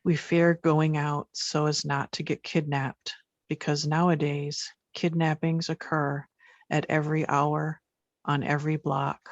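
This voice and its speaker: Flat gravelly woman from Haiti. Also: low-pitched, measured pace, no echo. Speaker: women's rights advocate. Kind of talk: prepared statement. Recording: dull dry recording.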